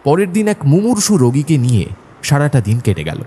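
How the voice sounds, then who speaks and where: A man playing an earnest, admiring doctor who narrates an audio drama in a measured storytelling way. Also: a little high, big pitch swings, mellow, breathy edge. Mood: reflective, earnest. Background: faint background music.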